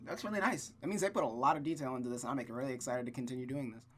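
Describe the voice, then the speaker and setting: An informal, mid-pitched streamer narrates a visual novel aloud and comments on it. The delivery is enthusiastic and a bit stumbling, with guitar music behind. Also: racing, a bit bright. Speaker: storytime streamer reading a game aloud. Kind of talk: casual gameplay commentary and read-aloud narration.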